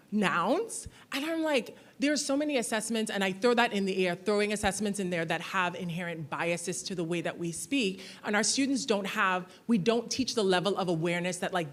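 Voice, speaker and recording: A woman panelist and teacher, speaking earnestly and emphatically in a university panel discussion. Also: medium pitch. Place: university lecture hall.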